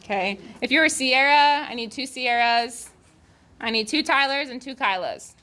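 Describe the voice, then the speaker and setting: A woman's voice: high voice, normal speed, bright, forceful tone, a little hiss or hum. A woman leading a volunteer training activity, giving informal instructions to a group in a casual, improvising way.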